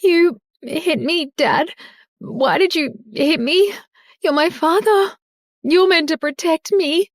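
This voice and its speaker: A woman voicing a heartbroken young woman pleading with her father in a high, tearful voice. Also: slow, no room sound, studio quality.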